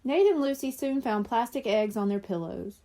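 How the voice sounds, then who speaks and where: A woman narrating a children's story from a script in an expressive way. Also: fairly high pitch, normal speed. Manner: expressive character reading. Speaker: audiobook narrator.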